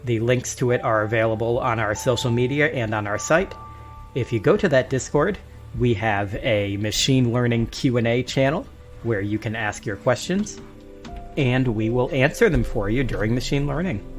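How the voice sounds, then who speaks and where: A man with a medium-pitched voice hosts a casual podcast discussion. He speaks to listeners as the one running the show. Music plays behind him. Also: normal speed. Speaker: podcast host.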